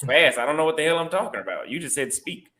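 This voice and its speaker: A man from Texas with a Texan accent, defending himself in a casual chat with pauses and restarts. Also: a little high, lively intonation, sharp tone. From Texas, USA.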